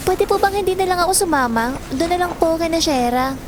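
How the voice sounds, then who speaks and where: A woman's voice: very high voice, lively intonation, fairly quick, over music. A woman playing a resentful young daughter in a Filipino audio drama, whiny and grumbling but polite to elders. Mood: reluctant, pleading.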